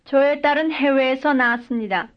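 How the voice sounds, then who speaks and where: A woman's voice: sits high, moderate pace. A woman speaking full model sentences in a language drill, each one carefully and evenly delivered. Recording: dull lo-fi recording.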